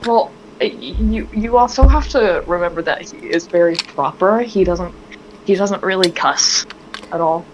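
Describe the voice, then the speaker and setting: A hoarse woman playing in a tabletop game, explaining at length with plenty of fillers. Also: on the low side, unhurried, background noise. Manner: rambling, filler-heavy explaining. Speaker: player. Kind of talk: casual tabletop game chat. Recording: dull lo-fi mic.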